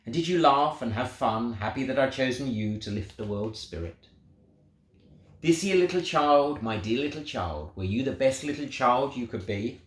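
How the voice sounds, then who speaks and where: A man voicing a tender, proud God who slowly questions little creatures in a children's storybook. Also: mid-range voice, expressive, lightly acted. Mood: tender questioning. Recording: roomy, echoing sound.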